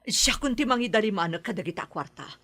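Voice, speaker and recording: An actress playing a gang boss's anxious wife, speaking with urgency and scolding, in a radio drama. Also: female voice, medium pitch, lively intonation, fairly quick, a bit bright. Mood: urgent.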